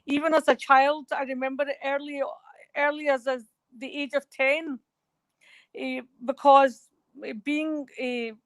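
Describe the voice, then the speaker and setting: A woman's voice: sits high, little pitch movement, measured pace, dry recording. A woman talking as a guest in a remote radio interview, rambling and hesitant with many fillers. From Scotland.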